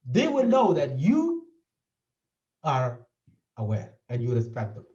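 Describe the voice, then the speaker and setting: A man's voice: medium pitch, wildly swooping pitch, takes it slowly, dark tone. A man from South Sudan with a South Sudanese accent, speaking as a therapist in an interview and explaining his points earnestly, often repeating them. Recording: dull, echoey, from a distance.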